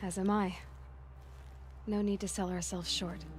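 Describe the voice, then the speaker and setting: A woman as a frank young warrior, mid-pitched. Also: level tone, measured pace, soft background music, natural voice. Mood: subdued, sincere. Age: young adult. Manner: direct, probing. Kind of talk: naturalistic video game dialogue.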